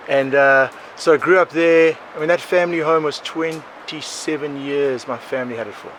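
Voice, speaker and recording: A South African man in his forties, a podcast guest, telling his life story in a rambling, animated way, often laughing. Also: on the high side, normal speed. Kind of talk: casual conversational storytelling. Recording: outdoor recording with clear background noise.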